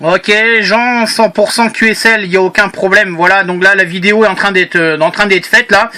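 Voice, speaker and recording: A man's voice: high-pitched, steady tone, moderate pace, pushed and bright. A man chatting casually as a radio operator, rambling and repeating himself with trailing hesitations. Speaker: amateur radio operator and video maker. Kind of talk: casual radio contact chat.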